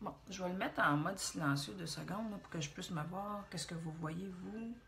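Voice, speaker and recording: A woman's voice: lowish voice, normal speed, gravelly, a bit bright, slight background noise. A woman in her forties with a Quebec French accent, chatting casually through a makeup tutorial and rambling as she thinks aloud. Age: 40s.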